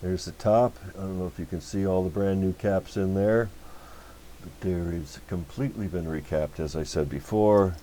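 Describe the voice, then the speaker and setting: A man with a deep voice and a dark, relaxed tone hosts a casual workbench demonstration. He talks informally and folksily, rambling along as he explains things. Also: normal speed, slight background noise. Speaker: host of a radio repair channel.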